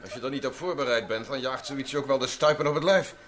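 An actor playing the doctor's earnest colleague in an old radio drama. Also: male voice, medium pitch, fast talker, a bit bright, a little hiss or hum, natural voice.

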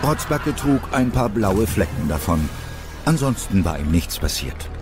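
A man's voice: on the low side, big pitch swings, unhurried, music in the background. A man narrating a scripted story as the narrator of an audio drama.

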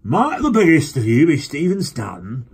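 A man voicing a gruff, heavy-jowled man who talks with clumsy joviality, then flatly. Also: a little high, normal speed, pitched above the performer's own voice, exaggerated voice. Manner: blunt, expressionless.

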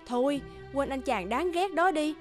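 Teasing high-voiced young office friend. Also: female voice, animated, a little slow. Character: chatty, teasing young female office colleague, loyal friend. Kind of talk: cartoon dubbing. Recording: music under the voice.

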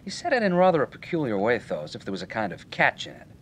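A man's voice: medium pitch, wildly swooping pitch, fast talker, a little hiss or hum. A man playing a breezy, slangy nightclub owner in an old-time radio detective drama, with a wry, colloquial way of talking. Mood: wry, casual.

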